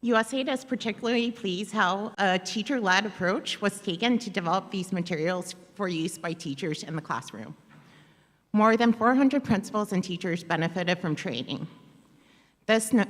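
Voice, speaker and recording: A woman guest speaker reading prepared official remarks in a measured, formal way at a podium microphone in a conference hall. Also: lowish voice. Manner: measured, formal, with soft um fillers. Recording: long hall reverb.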